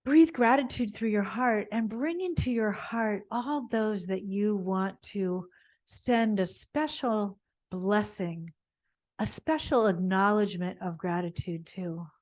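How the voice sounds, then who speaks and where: A woman yoga teacher guides a body scan at a very unhurried pace. Her pitch sits in a middle range for a woman. She speaks off the cuff, meandering and pausing to find her words as she gives spiritual instruction. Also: a little mellow. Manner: meandering, halting, searching for words.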